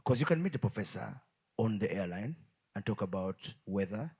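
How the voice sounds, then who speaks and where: A man, a pastor who hosts a TV talk show, speaking in a measured way and repeating words while he gathers his thoughts. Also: lowish voice, animated, darkish tone, slightly rough. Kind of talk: studio talk show hosting.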